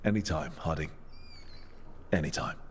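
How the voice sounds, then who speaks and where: An actor playing a steady, supportive male team leader in a fantasy game, calm and reassuring. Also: a bass voice, normal speed, slight background noise. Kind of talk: video game character dialogue.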